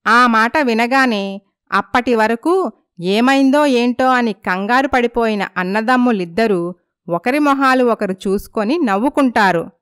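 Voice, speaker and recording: A woman's voice: a little high, measured pace, fairly bright tone, clean tone, dry recording, clean studio sound. A woman narrating a scripted moral story.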